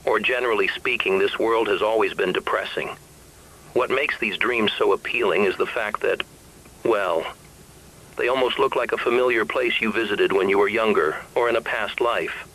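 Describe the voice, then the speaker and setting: Brooding, sleepless man acting a despairing survivor, bright-edged voice. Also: lowish voice, moderate pace, rough recording. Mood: wistful, agitated. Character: weary, despairing man isolated by a sleepless epidemic. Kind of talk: confessional recorded monologue in an analog horror audio drama.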